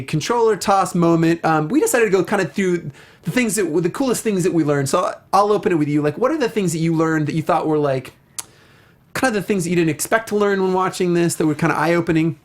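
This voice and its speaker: A co-host of a casual review show talks at a middle pitch. The delivery is chatty and emphatic, full of slangy asides and mock quotes. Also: lively intonation, fast talker.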